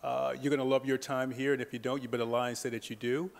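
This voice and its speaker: A young man, a senior student peer advisor, giving a joking, halting welcome speech with many fillers into a passed-around mic. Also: medium pitch, level tone, vocal fry.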